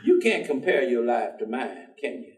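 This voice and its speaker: A man's voice: mid-range voice, very animated, moderate pace, slight background noise, natural voice. An actor playing an earnest, persistent, folksy working man in a staged dramatic reading, speaking colloquially. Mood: coaxing. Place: theatre stage. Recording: echoey theatre, distant microphone.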